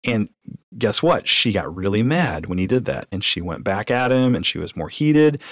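A man's voice: on the low side, normal speed, no echo, studio quality. A man hosting a podcast, teaching conversationally through anecdotes, acting out dialogue and posing rhetorical questions. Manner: animated storyteller, acts out dialogue, asks rhetorical questions. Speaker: podcast host.

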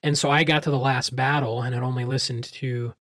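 A man's voice: mid-range voice, steady tone, fairly quick, a bit bright, dry recording, clean studio sound. A man co-hosting a podcast, chatting casually about books and feeling his way through sentences with filler words. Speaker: podcast co-host.

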